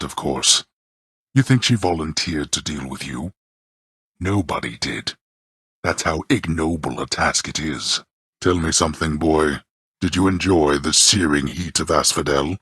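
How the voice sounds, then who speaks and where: An actor playing a haughty male god of the underworld who scolds his son in a deep, clipped, contemptuous voice. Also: a bit bright, breathy edge, no echo, studio quality, heavily acted. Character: imperious male god of the underworld, cold and disdainful. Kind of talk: game character voice lines.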